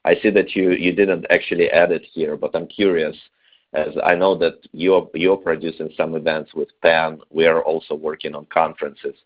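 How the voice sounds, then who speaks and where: A man hosting a webinar, putting questions to a guest in an unscripted, halting way. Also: very deep voice, level tone. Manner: halting, feeling his way through questions. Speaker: webinar host. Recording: thin phone-like webinar line.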